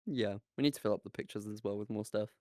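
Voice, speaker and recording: A man's voice: lowish voice, fast, warm tone, no echo, clean studio sound. A man co-hosting a casual podcast, chatting off the cuff with jokes and swearing. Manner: joking, sweary. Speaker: podcast co-host. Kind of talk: casual unscripted podcast banter.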